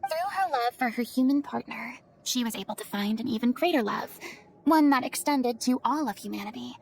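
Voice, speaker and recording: A woman's voice: fairly high pitch, animated, fairly quick, a little mellow, slight background noise. An actress playing a gracious, theatrical young woman, a stage star, speaking politely and thoughtfully in a video game. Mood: curious, thoughtful.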